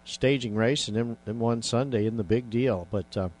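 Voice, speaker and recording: A man's voice: mid-range voice, moderate pace, a little mellow. A man hosting a casual radio talk show, rambling with many fillers and restarts.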